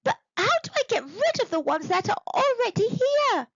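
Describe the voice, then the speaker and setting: A woman voicing an eager, anxious young girl in a storybook, with an earnest, childlike, expressive manner. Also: very high-pitched, normal speed, no room sound, muffled recording, pitched above the performer's own voice, full character voice. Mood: puzzled.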